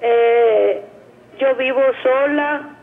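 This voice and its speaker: Slow elderly woman on the phone. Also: high voice, creaky. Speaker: caller. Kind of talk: halting personal account. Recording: dull telephone line.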